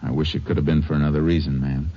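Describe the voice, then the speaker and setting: Low-voiced american texas ranger, polite and measured, dull old radio sound. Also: male voice, level tone, slightly rough, heavily acted. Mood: solemn, gentle. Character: steady, courteous male Texas Ranger investigator. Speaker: lead actor and first-person narrator. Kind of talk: 1950s radio drama acting. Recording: dull vintage radio recording with music.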